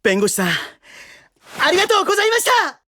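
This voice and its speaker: A woman's voice: very high-pitched, big pitch swings, normal speed, sharp tone, dry recording, clean studio sound, heavily acted. A woman playing a sincere, spirited boy in an anime-style audio drama, with an earnest boyish manner. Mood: grateful, determined. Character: sincere, spirited baseball-loving boy, a protective older brother.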